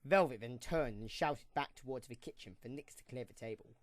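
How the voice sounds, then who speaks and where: A male narrator reads a scripted story. His voice is mid-pitched and the recording is close and dry. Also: brisk, no room sound.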